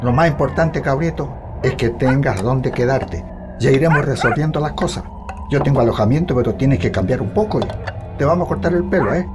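Actor as a reassuring, practical Chilean comrade. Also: male voice, medium pitch, fairly quick, close to an everyday voice. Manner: reassuring, directive. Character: protective, practical male comrade sheltering a youngster. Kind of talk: radio drama acting. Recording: loud music under radio drama.

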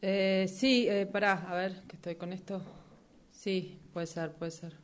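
A woman's voice: mid-range voice, steady tone, measured pace, natural voice. A woman with a Rioplatense accent acting in a radio drama as a frazzled, distracted wife who interrupts and presses with repeated questions. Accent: Rioplatense Argentine. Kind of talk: radio drama workshop dialogue.

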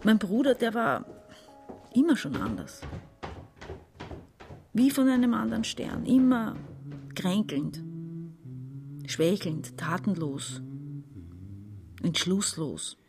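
A woman's voice: medium pitch, sing-song, slow pace, creaky, pauses often, background noise, heavily acted. An actress playing an embittered, domineering woman around forty with an Austrian accent, emphatic and reproachful in a radio drama. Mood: wistful, proud. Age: 40s. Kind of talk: German-language radio drama.

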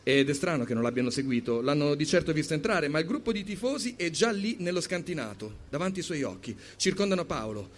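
Higher-pitched man narrating dramatically. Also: expressive, fast, few pauses. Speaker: narrator reading a story. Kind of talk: dramatic storytelling. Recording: clear background noise.

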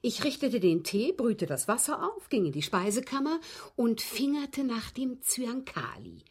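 An actress in a German radio drama playing a well-bred, abused woman confessing a poisoning to the police, phrasing deliberately and emphatically in a husky, breathy voice. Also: on the high side, very animated, exaggerated voice. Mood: resigned, intense.

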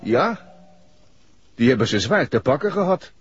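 An actor playing an excitable, suspicious man in a 1960s radio drama. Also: mid-range voice, unhurried. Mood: shaken. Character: excitable, suspicious man, the doctor's male companion. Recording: dull, noisy vintage radio recording.

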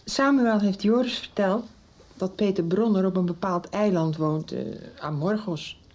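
Husky, anxious middle-aged woman actress as worried mother. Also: mid-range voice, a little mellow, lightly acted. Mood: shaken, anxious. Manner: agitated, halting, insistent. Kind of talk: Dutch radio soap acting.

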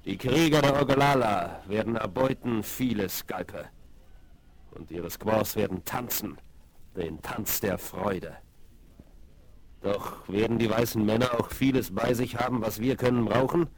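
Solemn, gravelly warrior chief. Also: male voice, on the low side, measured pace. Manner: solemn, ceremonious, inverted word order. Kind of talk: 1970s German radio play acting. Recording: vinyl rip of 1970s radio play.

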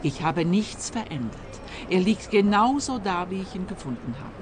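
Animated, anxious art patroness. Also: female voice, lowish voice, normal speed, over music. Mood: distressed. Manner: dramatic, earnest, formal. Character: anxious, high-minded woman art patron. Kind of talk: radio play dialogue.

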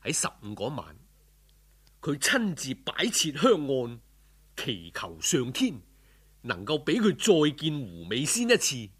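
A male storyteller with a Cantonese accent tells a folk tale dramatically. His husky voice moves at an unhurried pace, with widely swinging intonation. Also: mid-range voice, wildly swooping pitch, fairly bright tone.

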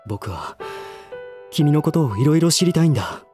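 A man playing a reserved, earnest, refined young man in a Japanese voice drama, with measured, formal phrasing. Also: fairly high pitch, lively intonation, a little mellow, faint music behind, lightly acted.

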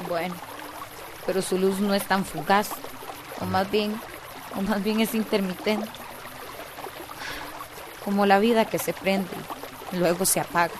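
An actress with a Costa Rican accent playing Natalie, a frightened, sensitive daughter in a radio soap opera. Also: female voice, mid-range voice, steady tone, a little slow, long pauses, close to an everyday voice. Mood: melancholy. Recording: clear noisy outdoor ambience.

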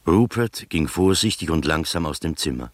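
An actor playing an observant first-person storyteller, narrating a literary radio drama in measured fashion. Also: male voice, a bass voice, animated, no room sound.

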